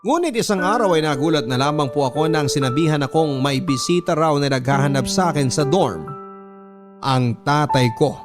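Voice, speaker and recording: A Filipino man narrating a personal letter as a radio storyteller, measured and sincere. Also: fairly high pitch, lightly acted. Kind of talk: first-person scripted dramatic narration of a letter. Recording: music bed behind the voice.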